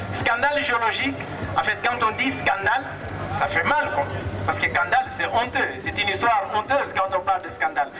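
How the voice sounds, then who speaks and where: A man from the Democratic Republic of the Congo with a Congolese accent, giving an emphatic, impassioned protest speech that repeats phrases for effect. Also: high-pitched. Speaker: activist speaker at a protest. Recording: distant mic, noisy outdoor street sound.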